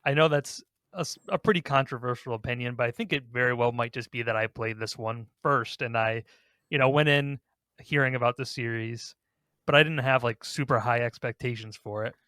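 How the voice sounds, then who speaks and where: A man talks as a podcast host in an informal, self-deprecating chat about games. His pitch sits in the middle of the male range. The sound is dry, with no room to it. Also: brisk, sharp tone, no room sound.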